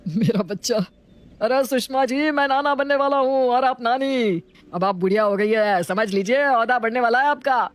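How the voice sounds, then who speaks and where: A woman's voice: on the high side, moderate pace, no room sound, lightly acted. A woman voicing a jovial, affectionate older father who teases, in a family story. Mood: delighted, teasing. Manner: teasing, affectionate.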